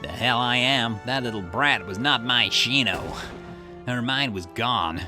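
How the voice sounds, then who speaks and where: A man's voice: medium pitch, unhurried, music in the background, lightly acted. A man reading game dialogue aloud, voicing a bitter, obsessive grieving man who snarls defensively and builds to outbursts.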